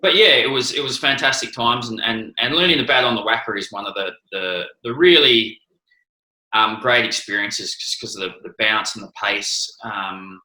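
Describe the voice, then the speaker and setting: A man's voice: on the low side, normal speed, pushed and bright, reverberant, poor audio. A man with an Australian accent answering interview questions, hesitant, with many restarts and ums. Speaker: interview guest, former cricketer.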